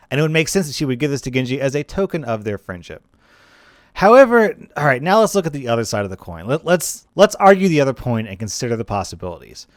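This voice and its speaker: A man's voice: mid-range voice, no echo. Casual male gaming commentator, dry close mic. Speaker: gaming video host.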